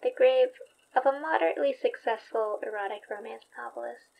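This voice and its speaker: An actress in a horror audio drama playing a thoughtful, wry young woman investigator recording a diary, reflective, halting and dryly understated. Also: a little high, gravelly, dull audio.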